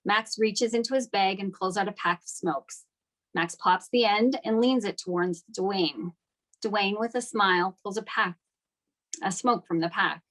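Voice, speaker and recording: A woman's voice: medium pitch, a little slow, a bit bright, rough recording. A woman narrating scripted stage directions at a table read of a screenplay.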